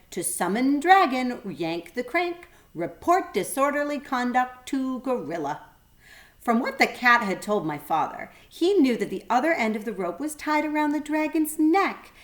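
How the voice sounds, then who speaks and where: Woman, teacher reading aloud, very animated pitch, expressive storybook narration, addressing children. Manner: expressive, addresses young listeners directly.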